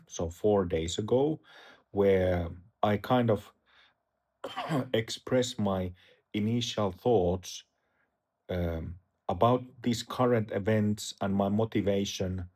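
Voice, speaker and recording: Finnish guy, middle-aged buddhist teacher, slow unsure talk, lotsa ums, lowish voice. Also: a little mellow, husky. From Finland. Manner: hesitant, halting, many fillers and restarts. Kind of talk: casual talk to camera.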